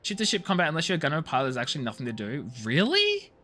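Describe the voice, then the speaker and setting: A man chatting casually as a live game streamer, in an animated, expressive way with emphatic, drawn-out words. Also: fairly high pitch. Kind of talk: casual game stream chat, reading story dialogue and viewer messages aloud. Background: game music.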